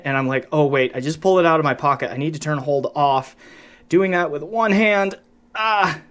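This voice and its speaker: A man giving a casual, unscripted gear review, explaining things conversationally and often breaking off his own sentences. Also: a little high. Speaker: product reviewer.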